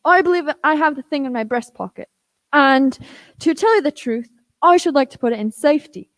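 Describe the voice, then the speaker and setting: A woman's voice: high-pitched, animated, fairly quick. A woman playing an anxious, earnest teenage boy in a radio play. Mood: earnest, respectful. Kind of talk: youth radio play acting.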